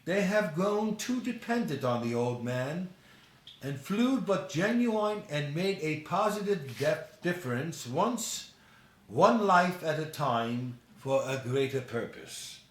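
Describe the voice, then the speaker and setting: A man's voice: a little high, expressive, slow pace, reverberant, rough recording. A man reading his own short story aloud as scripted narration.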